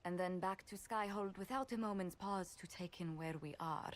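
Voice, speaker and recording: A woman's voice: mid-range voice, moderate pace, close to an everyday voice. An actress voicing an elegant, courteous lady diplomat in a video game, affectionate and formal in her phrasing. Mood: gracious, tender. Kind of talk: video game cutscene dialogue.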